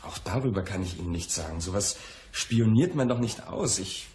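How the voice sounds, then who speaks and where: An actor playing a defensive, lovesick client in a radio crime drama, speaking in an earnest, emotional manner. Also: male voice, on the low side, lively intonation, moderate pace, a little mellow, a little hiss or hum, natural voice. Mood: reluctant.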